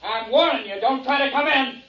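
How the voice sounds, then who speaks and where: Very high, desperate young fugitive. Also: male voice, brisk. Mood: frightened, threatening. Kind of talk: 1950s radio drama acting. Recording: muffled, distant, faintly noisy radio recording.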